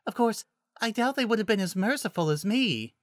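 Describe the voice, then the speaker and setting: A narrator voicing a timid, gentle, apologetic young female druid in a fantasy story, soft-spoken, nervous and hesitant. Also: mid-range voice, expressive, brisk, no room sound, studio quality, pitched above the performer's own voice, close to an everyday voice. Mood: meekly polite. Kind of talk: audiobook-style fantasy story character.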